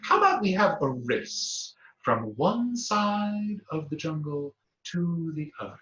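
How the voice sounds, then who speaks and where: Man doing a wise, kind storybook parrot, high and measured, slow, echoey dull room. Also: sing-song, a bit bright, exaggerated voice. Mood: wry. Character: wise kind female parrot, calm and stern.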